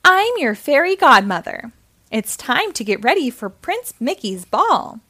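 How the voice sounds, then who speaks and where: A woman's voice: high-pitched, big pitch swings, unhurried, pushed and bright, full character voice. A woman voicing a bumbling, bossy, cheery fairy godmother from a children's storybook, with emphatic exclamations. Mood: calling, encouraging.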